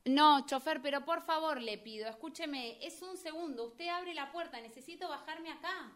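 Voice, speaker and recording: A woman's voice: sits high, moderate pace, fairly bright tone. A woman playing an insistent, exasperated bus passenger pleading to get off in an amateur radio drama. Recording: noisy amateur recording with vehicle ambience. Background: bus and vehicle ambience.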